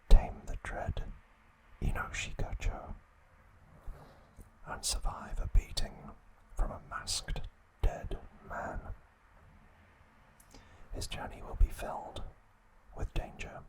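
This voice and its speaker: Male ASMR host, whispered voice, unhurried delivery, short phrases, frequent pauses. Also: rough recording. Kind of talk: ASMR, whispered trivia talk and reading aloud.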